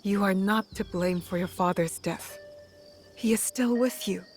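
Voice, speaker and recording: A woman's voice: mid-range voice, measured pace, soft background music, close to an everyday voice. Actress as composed, earnest warrior woman. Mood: solemn, reassuring. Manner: calm, earnest, reassuring. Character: composed, compassionate female warrior, a guiding big sister. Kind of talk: video game cutscene acting.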